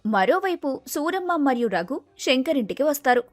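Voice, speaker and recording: A woman narrates a scripted story as its storyteller. Her voice is very high, and the sound is dry and close, with a faint music bed. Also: very animated, moderate pace, dry recording.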